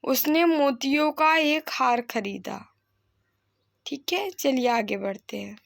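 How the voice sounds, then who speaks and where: A woman's voice: high-pitched, takes it slowly, gravelly. A woman teacher giving a grammar lesson, repeating example sentences didactically and answering her own questions. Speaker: Hindi grammar teacher for exam candidates. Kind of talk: instructional lesson, reading sentences and correcting them.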